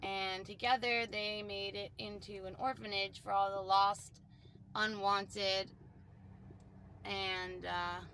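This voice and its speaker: A woman's voice: mid-range voice, gravelly, bright, forceful tone, slight background noise. A woman talking casually and informally, telling an improvised story in a rambling way with plenty of filler "like".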